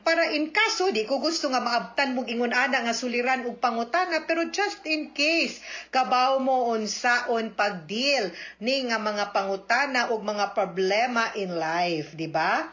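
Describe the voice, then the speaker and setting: A Cebuano woman lawyer hosts a radio advice program in a chatty manner, dropping English phrases into her talk. Her voice is bright and sits a bit high. The recording is slightly muffled, like an old broadcast. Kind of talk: radio call-in advice hosting.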